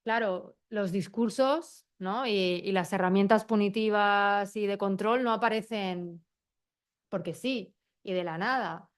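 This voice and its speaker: Woman on a round table panel, smooth clear voice, explaining stuff with lots of asides. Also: mid-range voice. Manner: animated, explanatory, quick asides and brief laughs.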